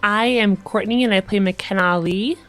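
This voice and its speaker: A woman's voice: medium pitch, normal speed, music in the background. A woman speaking casually as a player on a tabletop game podcast. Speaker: podcast cast member, player.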